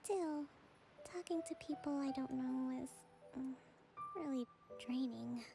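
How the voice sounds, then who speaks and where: Worn-out gentle priestess: soft, breathy, high with a little fry, faint game music under her. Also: unhurried. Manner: soft, tired, often breathy near-whisper. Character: young priestess leader, gentle, weary and soft-spoken. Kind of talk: game voice acting.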